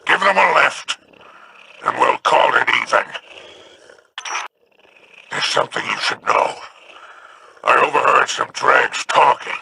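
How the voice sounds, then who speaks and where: A man voicing a greedy, sly alien crime boss in a video game, speaking in a haughty, scheming tone with a gravelly growl. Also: mid-range voice, animated, slow pace, long pauses, background noise, heavily acted.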